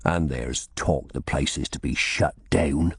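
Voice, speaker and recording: A man's voice: extremely low pitch, a little slow, breathy edge, no echo, clean studio sound, voice pushed down, heavily acted. A man voicing a meek, anxious servant of nearly sixty in an audiobook, pleading deferentially.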